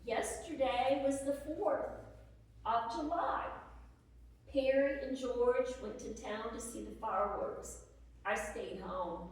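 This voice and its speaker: A woman dramatically reading letters as a plainspoken, hardworking Civil War soldier's wife, worried but practical, homely and deliberate. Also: a little high. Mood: practical, wistful. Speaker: reader at a historical presentation. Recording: echoey room, distant microphone.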